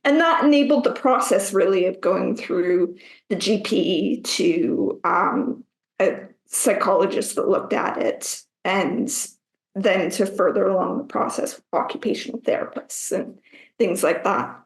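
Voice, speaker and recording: A Canadian woman in her thirties, a guest writer answering interview questions in a measured, careful way with some hesitations. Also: medium pitch, creaky, reverberant, low-quality recording. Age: 30s. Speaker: guest, writer and public speaker.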